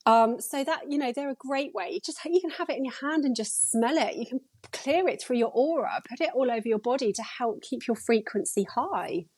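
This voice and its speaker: A woman's voice: high-pitched, animated, fairly quick, hardly any pauses, a little mellow, breathy edge. A woman speaking as a podcast guest, enthusiastic and encouraging in casual conversation. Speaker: podcast guest, energy healer.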